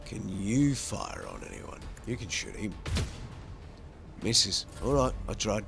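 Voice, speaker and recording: A man's voice: fairly low pitch, huge pitch swings, takes it slowly, creaky, a bit bright, faint music behind. A man doing casual commentary as he plays a video game, muttering to himself. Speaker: gamer, playthrough host.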